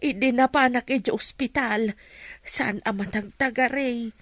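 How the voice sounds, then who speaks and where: A woman's voice: fairly high pitch, unhurried, a bit bright, dry recording. A woman playing a concerned mother of a grown son in a radio drama, sympathetic and exclaiming. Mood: sad, worried. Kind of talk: melodramatic radio drama acting.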